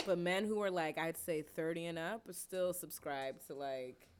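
A woman's voice: deep voice, unhurried, smooth. A woman in her thirties in a casual panel chat, telling stories with gusto and acting out her own lines. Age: 30s. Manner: animated storyteller, acts out her own lines.

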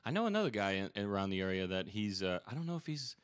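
A young male podcast host with a fairly low voice that comes across smooth and clean. He talks loosely, circling back with fillers and restarts. The recording is close and dry, with no room sound. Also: sing-song, quick pace, no echo. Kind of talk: casual interview chat.

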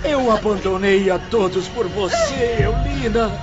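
A man's voice: high voice, wildly swooping pitch, measured pace, heavily acted. A man playing a desperate, obsessive jilted lover in an impassioned, theatrical radio drama. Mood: desperate pleading. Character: Mário, desperate, obsessive jilted lover confessing murder and suicide. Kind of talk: melodramatic radio drama acting. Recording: dull lo-fi radio drama, music behind.

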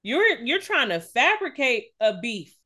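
A woman's voice: high voice, big pitch swings, unhurried, bright, forceful tone. A woman co-hosting a casual gossip podcast, blunt and emphatic, with plenty of swearing. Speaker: podcast co-host.

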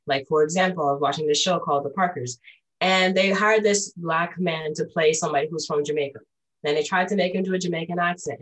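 Lower-voiced young woman, slightly off-mic. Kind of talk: casual chat. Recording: voice a bit distant from mic.

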